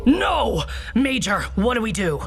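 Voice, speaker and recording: A woman's voice: fairly high pitch, sing-song, measured pace, a bit bright, faint music behind, heavily acted. An actress playing a serious, skeptical female secret agent with a dry, businesslike manner in a comedic video game. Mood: disbelieving.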